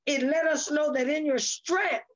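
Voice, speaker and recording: A woman's voice: sits high, measured pace. A woman talking in an earnest, motivational way, emphatic and repetitive as she exhorts the listener. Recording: dull, lo-fi audio.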